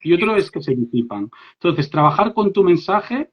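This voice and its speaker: A man from Spain with a Spanish accent and a fairly high voice, leading a live online workshop as an English coach. He talks in a lively, direct way, checking in with his listeners often. The audio is dull and lo-fi, like a livestream. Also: moderate pace, husky. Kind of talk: informal live workshop talk. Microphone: phone or laptop mic on a live stream.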